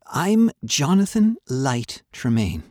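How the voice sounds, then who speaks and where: A man's voice: mid-range voice, sing-song, a little mellow, dry recording, clean studio sound, voice pushed up. A man doing an earnest young boy. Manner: earnest, quick-tempered. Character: proud, poor young apprentice boy.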